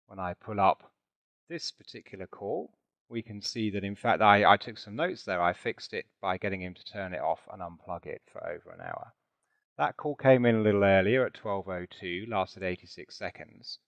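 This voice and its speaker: A man narrating a software demonstration in a chatty, informal way, with conversational asides. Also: on the low side, no room sound. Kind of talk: conversational product demo narration with role-play.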